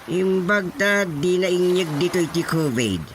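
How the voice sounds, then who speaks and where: An actor playing a stubborn, excitable middle-aged village chairman and father in an Ilocano radio comedy, with a Filipino accent and exclamatory, drawn-out reactions. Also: high-pitched, background noise. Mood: wistful, musing.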